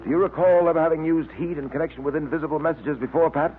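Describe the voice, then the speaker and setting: A male actor as a cool-headed detective hero in a 1940s radio drama. He talks briskly and with confident authority. The sound is tinny and narrow, with faint noise behind. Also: on the high side, lightly acted. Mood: confident, explaining. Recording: tinny, faintly noisy vintage radio recording.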